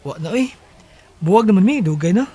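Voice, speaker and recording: A man's voice: high voice, lively intonation, a little fast, dark tone, breathy edge. A man with a Filipino Cebuano accent playing an earnest, tender teenage suitor in a radio drama. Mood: matter-of-fact. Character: Minggoy, earnest lovestruck teenage schoolboy suitor. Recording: music behind the voice.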